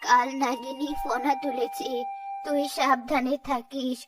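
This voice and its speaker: A woman's voice: high voice, little pitch movement, a little slow. A woman voicing a frail, mad old beggar woman in a cartoon story, muttering rambling, repetitive, ominous warnings. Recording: distant mic, loud background music.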